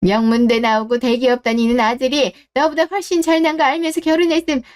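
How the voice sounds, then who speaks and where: A woman's voice: sits high, level tone, a little fast, clear, resonant tone, close to an everyday voice. A woman playing a scornful, possessive middle-aged mother-in-law in a scripted radio drama, scolding and accusing. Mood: furious, scornful.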